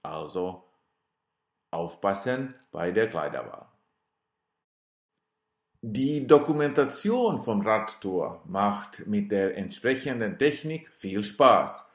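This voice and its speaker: Slow, dry man narrating. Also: fairly low pitch, roomy sound. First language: Swedish. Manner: dry, self-ironic storytelling. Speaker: cyclist narrating his trip video. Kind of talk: scripted voice-over narration.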